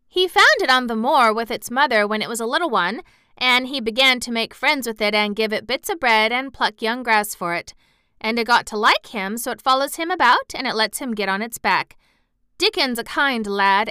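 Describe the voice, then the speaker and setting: Give me a woman playing a young Yorkshire maid, higher and louder than her own voice, rattling on in broad dialect, outspoken, clear and crisp. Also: animated, normal speed, no room sound, studio quality. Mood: chatty. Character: chatty good-tempered young Yorkshire maid.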